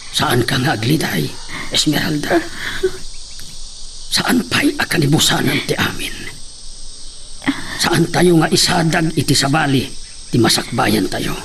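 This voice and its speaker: Middle-aged working father, grave, consoling, slightly high male voice, radio drama, faint chirping noise. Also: gravelly, fairly bright tone, full character voice. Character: steady, consoling father of a grown daughter, a laborer.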